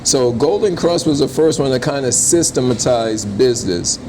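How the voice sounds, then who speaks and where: A man speaks at an average pitch in an easy, conversational interview style. His delivery is slangy and emphatic, that of a business owner talking about his own place. Noticeable ambient noise sits behind his voice. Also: expressive, moderate pace. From born in Jamaica, raised in Brooklyn from age five. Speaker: restaurant owner being interviewed.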